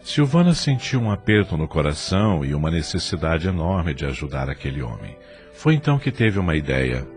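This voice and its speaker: A man's voice: extremely low pitch, sing-song, brisk, faint music behind. A man with a Brazilian accent giving scripted, dramatic narration for an audio novela.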